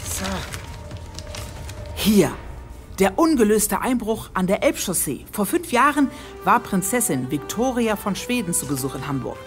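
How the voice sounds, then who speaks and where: Animated, eager police assistant. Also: female voice, medium pitch, measured pace, soft background music. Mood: excited, triumphant. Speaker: detective's assistant. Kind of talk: comedic radio crime play acting.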